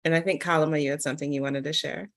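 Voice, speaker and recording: Woman, low register, conversational panel speaker who thinks out loud with lively asides. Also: rapid-fire. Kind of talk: conversational literary panel talk.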